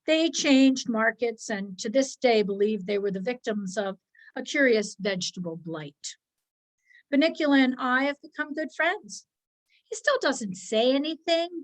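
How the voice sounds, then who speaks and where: A woman reading a children's story aloud as its narrator, expressively and with measured, dramatic pauses. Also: on the high side, low-quality recording. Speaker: storybook reader, narrating as a dog.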